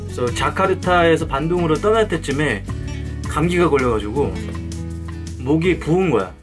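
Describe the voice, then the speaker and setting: A man's voice: on the high side, animated, normal speed, over music. A young Korean man looking back on his trip and explaining it earnestly to the camera. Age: young adult. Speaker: vlogger commenting to camera. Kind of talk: reflective commentary. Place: indoor studio setup.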